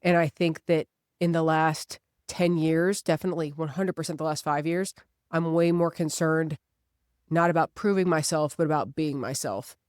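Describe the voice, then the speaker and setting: A woman in her thirties with a clean, smooth voice and a dry, close sound. She talks as a podcast co-host, casual and reflective, stressing her points earnestly. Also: low voice, fairly even intonation, normal speed, darkish tone, dry recording. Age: 30s.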